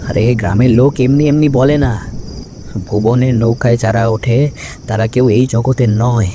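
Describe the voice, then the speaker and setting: A man's voice: medium pitch, steady tone, a little slow, background noise, close to an everyday voice. A man playing a fearful village gossip in a ghost story, recounting rumours in an emphatic, conspiratorial way.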